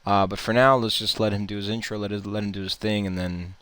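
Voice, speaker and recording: A man's voice: fairly low pitch, steady tone, fast, a bit bright, smooth, no echo. A man hosting a gaming channel, giving casual, rambling reaction commentary full of fillers and repeated words.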